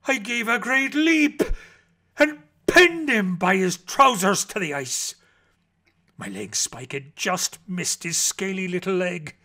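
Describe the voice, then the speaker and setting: A male reader plays an eccentric Arctic explorer uncle telling his adventures from a storybook. The voice is gravelly and forceful, and unhurried. He relishes words theatrically, swinging between hushed and urgent. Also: high-pitched, exaggerated voice. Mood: urgent, excited. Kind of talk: dramatic storybook reading.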